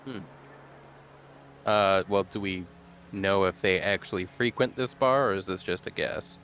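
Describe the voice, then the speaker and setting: A man's voice: sits low, a little slow, fairly bright tone, slight background noise. A man playing a tabletop roleplaying game, speaking in a conversational, slightly tentative way. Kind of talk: casual in-character roleplay chat.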